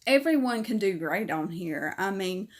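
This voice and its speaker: A woman's voice: medium pitch, lively intonation, moderate pace. Chatty woman livestream host. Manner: warm, chatty, reads comments aloud and answers them. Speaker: livestream host reading viewer comments. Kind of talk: casual livestream chat.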